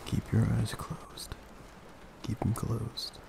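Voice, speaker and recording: A young man performing soft, intimate ASMR as a gentle boyfriend, speaking in a hushed, tender, coaxing way. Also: fairly low pitch, completely flat delivery, slow pace. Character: gentle protective boyfriend soothing partner to sleep. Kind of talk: soft intimate ASMR sleep-aid roleplay. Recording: clear background noise, ambient hiss.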